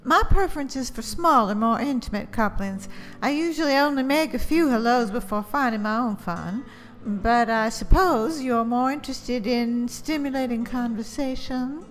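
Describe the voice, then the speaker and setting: A woman's voice: on the high side, normal speed, close to an everyday voice. A woman playing a flirtatious, worldly society hostess in an audio drama, coy and teasing. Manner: coy, insinuating. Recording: long reverb, faint music. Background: faint music.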